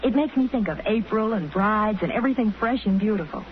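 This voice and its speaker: An American actress playing a contented, gushing young married housewife in a 1940s radio advertisement. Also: female voice, mid-range voice, animated, fairly quick, lightly acted. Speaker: sponsor spokeswoman. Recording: muffled, hissy vintage radio recording.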